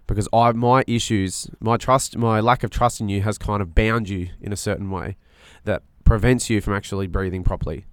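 Podcast co-host, male, lowish nasal voice, earnest and reflective, dry close sound. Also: steady tone, moderate pace, hardly any pauses, clear, resonant tone, no echo. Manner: earnest, searching, restarts often. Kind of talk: casual reflective chat.

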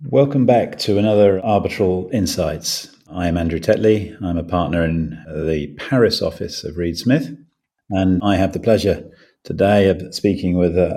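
A man's voice: low-pitched, dark tone, slightly rough. A man hosting a law podcast, interviewing a guest with a hesitant, restarting way of asking questions. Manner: hesitant, many restarts and fillers. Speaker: podcast host, law partner.

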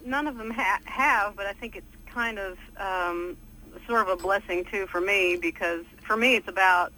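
A woman singer talking as a radio guest, modest and soft-spoken, laughing lightly and using many "you know" fillers. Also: medium pitch, moderate pace, rough recording. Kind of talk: casual radio interview.

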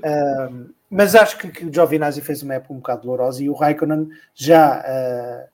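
A man's voice: medium pitch, brisk, a little mellow. A man co-hosting a casual podcast, rambling and thinking aloud with many repeats. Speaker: podcast co-host. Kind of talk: casual podcast chat about motor racing.

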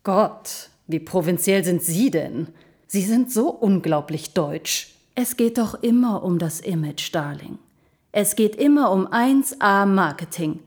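An actress playing a vain, seductive milk carafe in an audio drama, purring and condescending like an aging advertising diva. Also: female voice, medium pitch, animated, measured pace, darkish tone. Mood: indignant, condescending. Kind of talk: scripted audio drama acting.

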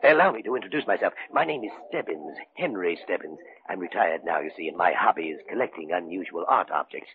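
An actor playing a courteous, ingratiating older retired art collector in old radio drama, mannered and full of little hesitations. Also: male voice, sits low, lively intonation, fast talker, few pauses. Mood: courteous, hesitant. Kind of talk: 1940s-50s radio drama acting. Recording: tinny, hissy old radio recording.

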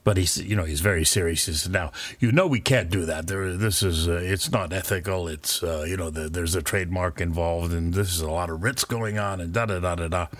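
An older man, a former pirate radio DJ, telling a rambling, anecdotal story in a relaxed interview. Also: deep voice, fairly quick, few pauses, no echo. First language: not French; English speaker. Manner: rambling, anecdotal, with fillers and chuckles.

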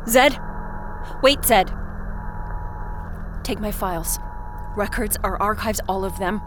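A woman playing a commanding, desperate space station commander in an audio drama, speaking deliberately and dramatically. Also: mid-range voice, noisy background, close to an everyday voice. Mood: pleading, demanding. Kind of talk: scripted audio drama acting.

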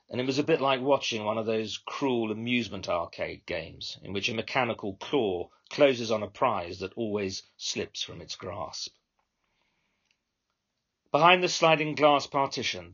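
A British man reading his own short story as scripted first-person narration, in a wry, measured manner. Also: fairly low pitch, fairly bright tone, breathy edge, lo-fi sound.

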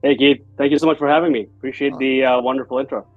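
A man's voice: mid-range voice, fairly even intonation, quick pace, no echo, phone-quality sound, faint music behind. A man in his forties from Bangladesh, a guest entrepreneur talking in an earnest, reflective way, with many fillers. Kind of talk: conversational interview.

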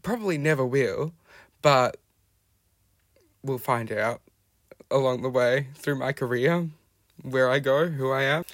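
An Australian man tells his own life story in an unhurried, thoughtful monologue. He pauses and gropes for the right words as he goes. His voice sounds close and dry, with no room echo. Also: mid-range voice. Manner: reflective and halting, searching for words. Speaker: podcast guest sharing his life story.

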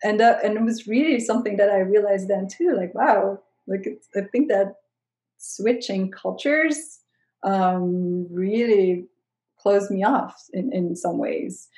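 A woman from Switzerland and the Netherlands, a guest coach, who speaks in a reflective, soft-spoken way during a casual interview. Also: medium pitch, measured pace. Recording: roomy echo.

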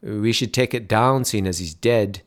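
Guy voicing a big weary zoo owner, kinda flat, unbothered. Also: lowish voice, unhurried, natural voice.

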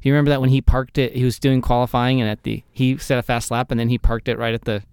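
A man's voice: medium pitch, flat delivery, quick pace, a little mellow, smooth, no room sound, clean studio sound. A man hosting a podcast, asking questions in a jokey, playful way. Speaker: podcast host. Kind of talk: casual podcast chat.

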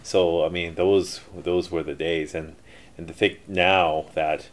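Deep-voiced middle-aged man, rambling. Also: steady tone, slow. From Canada. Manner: rambling, many fillers and restarts. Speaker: interview guest, entrepreneur. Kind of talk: casual interview storytelling.

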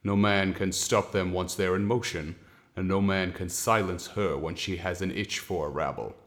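An actor playing a steady, wry, protective husband in a colonial period audio drama, with measured old-fashioned phrasing. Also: male voice, low-pitched.

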